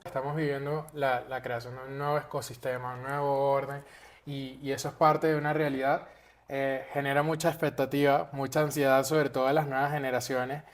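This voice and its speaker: A man's voice: mid-range voice, flat delivery, brisk. A man hosting a podcast interview, affirming his guest and asking broad, open questions. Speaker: podcast host. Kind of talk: interview conversation.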